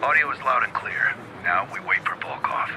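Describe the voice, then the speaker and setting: A man's voice: lowish voice, lively intonation, moderate pace, close to an everyday voice. A man acting a commanding, clipped covert team leader in a video game cutscene. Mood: watchful. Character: urgent adult male covert team leader. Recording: clear background noise.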